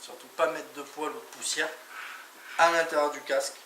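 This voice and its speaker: A man's voice: a little high, fairly even intonation, moderate pace, sharp tone. Echoey, distant man giving casual gear tutorial. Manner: informal, talks through each step with little interjections. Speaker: motorcycle vlogger presenting gear. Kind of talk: casual hands-on product tutorial. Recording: echoey, distant mic, clearly noisy background.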